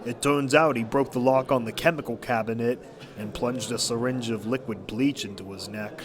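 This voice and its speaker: A man's voice: medium pitch, fairly even intonation, moderate pace, crowd noise, close to an everyday voice. A man playing a weary, grumbling but kindly pony shop worker in casual audio drama dialogue.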